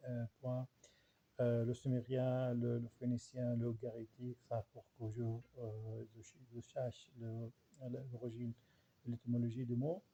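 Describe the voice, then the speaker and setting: A man with an Arabic accent and an Arabic dialect as his first language reflects informally, haltingly searching for words and repeating himself. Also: medium pitch, completely flat delivery, mellow, dull audio, slight background noise. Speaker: language researcher and writer.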